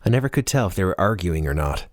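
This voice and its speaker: Deep-voiced man narrating an audiobook. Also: sing-song, fast, no echo, clean studio sound. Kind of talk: scripted first-person novel narration.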